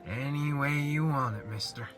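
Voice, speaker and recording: A student actor playing a big, peaceable, war-weary veteran in an amateur western radio play, laconic and restrained. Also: male voice, medium pitch, moderate pace, a bit bright, soft background music, natural voice. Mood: unbothered.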